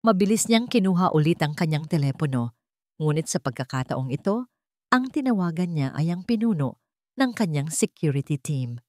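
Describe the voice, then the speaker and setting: Woman narrator, fairly low pitch, dramatic scripted storytelling, dry close sound. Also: expressive, normal speed, mellow, no room sound.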